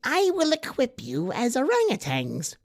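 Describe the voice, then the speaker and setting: A woman voicing a cunning male dwarf jester, slyly scheming, with theatrical, deliberate phrasing in a radio horror drama. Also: a little high, wildly swooping pitch, a little mellow, dry recording, clean studio sound, heavily acted. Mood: scheming persuasion.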